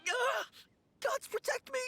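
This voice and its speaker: An actor playing a timid, polite young novice undertaker in a video game, formal and easily frightened. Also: male voice, very high-pitched, slow pace, creaky, background noise, heavily acted. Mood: terrified. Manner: formal, deferential, skittish. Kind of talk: video game character acting.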